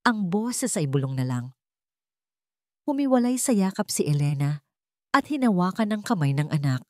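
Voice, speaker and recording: A woman's voice: fairly low pitch, very animated, normal speed, no echo, clean studio sound. A woman with a Filipino accent reading a scripted dramatic story as narrator.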